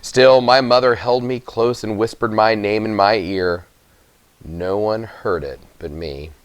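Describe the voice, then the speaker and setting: A man giving an expressive, dramatic children's audiobook narration, with emphatic pauses. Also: on the low side, clear, resonant tone, no room sound. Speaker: story reader.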